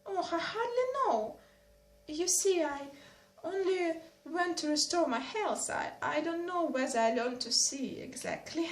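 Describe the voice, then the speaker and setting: A woman's voice: very high-pitched, lively intonation, unhurried, pitched above the performer's own voice, exaggerated voice. A woman reading a mild, hesitant young prince. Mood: gentle. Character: gentle, good-natured young nobleman, a prince. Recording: roomy echo.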